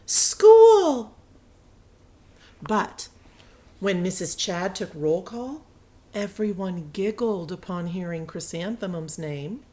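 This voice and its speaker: A woman reading a children's picture book aloud with deliberate, emphatic expression. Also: on the low side, a little hiss or hum. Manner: deliberate, emphatic, acts out characters.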